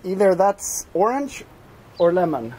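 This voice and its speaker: A man's voice: high voice, sing-song, unhurried, a little mellow. A young man chatting casually outdoors, picked up by a handheld camera. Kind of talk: casual chat. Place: garden outdoors. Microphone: handheld camera held by another. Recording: noisy outdoor camera sound.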